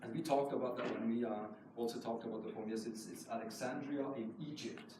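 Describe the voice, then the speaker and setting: A man's voice: medium pitch, fairly even intonation, fast. A man from Switzerland, a native German speaker, speaking as a guest poet at a live poetry reading. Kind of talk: poetry reading with casual asides. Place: indoor live poetry event. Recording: roomy echoing hall, distant mic, noisy.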